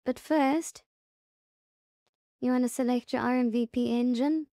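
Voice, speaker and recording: A woman presenting a casual, step-by-step software tutorial in a plain-spoken, instructional way. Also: sits high, steady tone, unhurried, dry recording, studio quality.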